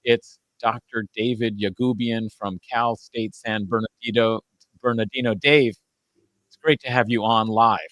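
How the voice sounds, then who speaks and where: A man's voice: mid-range voice, fairly even intonation, a little slow, a bit bright, no echo, poor audio. A man hosting a live-streamed talk show, enthusiastic and a little rambling, with frequent fillers. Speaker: host.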